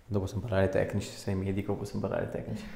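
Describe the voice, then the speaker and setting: Low, gravelly man giving treatment instructions. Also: monotone, rapid-fire, mellow. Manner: short coaxing commands, often repeated, with joking asides. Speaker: chiropractor treating a patient. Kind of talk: hands-on instructions and casual chat with patient. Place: small indoor treatment room. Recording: echoey small room, faint background noise.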